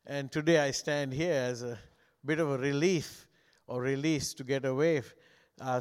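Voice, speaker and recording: An older man from Sri Lanka preaches with a smooth, resonant voice, joking easily with the congregation. English is his second language, and the sound carries a long church-hall reverb. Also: fairly high pitch. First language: not English. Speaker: guest pastor. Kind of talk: sermon.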